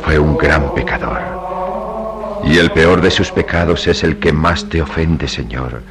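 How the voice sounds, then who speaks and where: An actor playing a devout, forgiving priest in a radio drama, speaking reverently over music. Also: male voice. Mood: solemn pleading. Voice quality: very deep voice. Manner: slow, reverent, declamatory. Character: solemn, devout, forgiving priest praying at a graveside. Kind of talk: Latin American radio drama acting. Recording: loud music under the voice.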